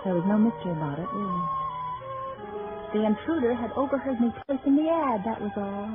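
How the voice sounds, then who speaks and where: An actress playing a tormented older sister in a vintage suspense radio play, halting and repeating herself dramatically. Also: female voice, a little high, over loud music, natural voice. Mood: dazed, weary. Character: tormented older sister, a secretary, pleading and frightened. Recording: muffled, very noisy old radio recording.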